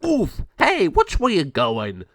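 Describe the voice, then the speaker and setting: A man voicing a goofy, cowardly male gargoyle henchman, very high, loud and squawky. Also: huge pitch swings, takes it slowly, no echo, clean studio sound, full character voice. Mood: excitable.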